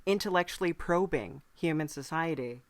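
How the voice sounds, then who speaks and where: Female host, deep register, casual comedic interview, playful and meandering delivery, dry close sound. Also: moderate pace, no room sound. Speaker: podcast host.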